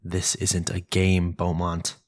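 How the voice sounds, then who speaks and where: A man voicing a possessive, domineering vampire lord in an ASMR audio drama, with a husky, whispery voice and a slow, menacing delivery. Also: deep voice, monotone, dry recording, studio quality. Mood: threatening.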